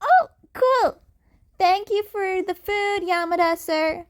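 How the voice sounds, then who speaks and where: Woman voicing a very high, cheeky cat boy. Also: takes it slowly. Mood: polite, grateful. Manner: innocent, polite, defiant. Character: cheeky polite young cat boy vigilante. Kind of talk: fan fiction audiobook character voice.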